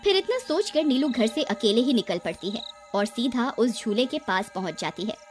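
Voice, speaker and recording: A woman's voice: on the high side, expressive, moderate pace, loud music behind the voice. A woman narrator reading a scripted horror story.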